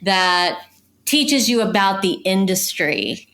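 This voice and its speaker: Woman casting director just talking shop in an interview, regular pitch, explaining stuff and giving tips. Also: lively intonation, takes it slowly, fairly bright tone. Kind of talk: conversational interview about voice casting.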